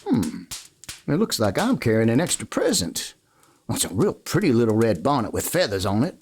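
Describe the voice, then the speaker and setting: A man playing a kindly, easygoing cowboy in a western radio play, talking in a halting, folksy way. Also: medium pitch, wildly swooping pitch, husky, full character voice. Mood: gently coaxing. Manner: folksy, halting, gently coaxing. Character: kindly, easygoing drifting cowboy of the Old West.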